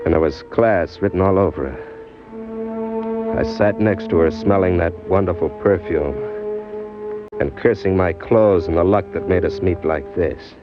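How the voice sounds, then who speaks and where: A streetwise American ex-con tells his story in hardboiled slang, scheming and boastful. The voice is deep and swings expressively. It comes through a dull old radio recording with music behind it. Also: huge pitch swings, unhurried, exaggerated voice. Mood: smitten. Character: streetwise male ex-convict drifter, smitten, boastful, scheming. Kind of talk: old-time radio crime drama acting, first-person narration and dialogue.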